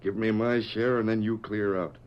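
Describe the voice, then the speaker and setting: A man's voice: fairly low pitch, fairly even intonation, normal speed, natural voice. A man playing a greedy, scheming army lieutenant in a radio western, curt and bossy. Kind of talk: 1940s radio western acting. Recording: muffled vintage radio recording, faint noise.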